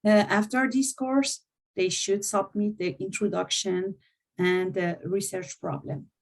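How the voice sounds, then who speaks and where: A woman instructor who speaks English as a non-native language, presenting a course overview over a video call, halting and often searching for words. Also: medium pitch, fairly even intonation, gravelly.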